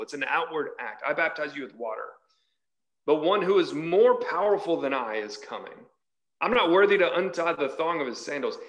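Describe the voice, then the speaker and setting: A man's voice: on the high side, a bit bright, rough recording. A man in his forties giving an emphatic sermon, reading scripture and explaining it, repeating phrases for stress. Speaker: preacher leading a Bible study. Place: large church hall, indoors.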